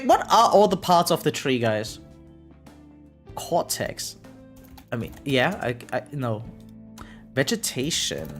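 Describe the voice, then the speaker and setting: A game streamer with a mid-pitched voice talks casually on a live stream, thinking aloud as they go. The delivery rambles and often tags thoughts with a quick check-in question. Music plays behind the voice. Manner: rambling, repeatedly tags sentences with "right?". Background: background music.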